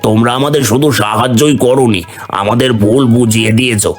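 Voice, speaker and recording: A man's voice: medium pitch, steady tone, a little fast, faint music behind, lightly acted. A man playing an earnest, fair-minded village elder in a cartoon. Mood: grateful. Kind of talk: cartoon voice acting.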